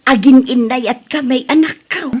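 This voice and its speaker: A woman's voice: high-pitched, normal speed, slightly rough. A woman with an Ilocano accent playing a headstrong widowed mother in a comedy drama, pleading and emphatic. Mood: coy, confessing. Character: headstrong widowed mother insisting on marrying a younger man. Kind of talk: Ilocano comedy radio-style drama acting.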